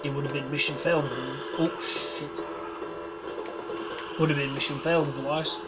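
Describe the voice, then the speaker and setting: A man's voice: on the high side, flat delivery, normal speed, low-quality recording. A man with a slight head cold, sniffling as he gives casual, swear-heavy, self-mocking commentary over a video game. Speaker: gameplay commentator. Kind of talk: casual let's-play commentary. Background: loud game music and sound effects.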